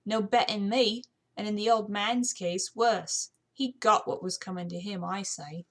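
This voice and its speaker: A woman's voice: medium pitch, fairly even intonation, unhurried, natural voice. A woman puts on a saucy young English maid from a period storybook. She talks back with scornful cheek in a put-on British accent, disrespectful to her betters. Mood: insolent. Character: pert, insolent young English housemaid with a sharp tongue.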